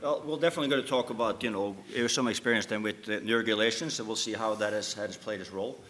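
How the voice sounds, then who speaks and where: A man with a lowish voice speaks on a business panel and works his way through each point with many restarts and fillers. The room is echoey, and his voice carries off the walls of the conference hall. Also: fast, fairly bright tone. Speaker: shipping company CEO on a panel.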